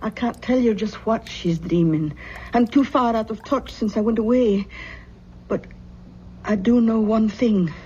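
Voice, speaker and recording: A homely older aunt played by a woman, fond and gently fussing over her family. Her tone is soft and mellow. It sounds like an old radio play, muffled, with clear background noise. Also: a little high, a little slow, close to an everyday voice. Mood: grave, concerned. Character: affectionate, homely older Scottish aunt, quietly worried. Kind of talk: old-time radio drama acting. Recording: muffled, noisy vintage radio recording.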